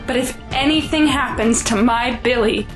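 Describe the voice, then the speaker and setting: A woman's voice: on the high side, normal speed, over music, natural voice. A woman playing an anxious, protective mother in an audio drama, speaking in a worried, pleading way.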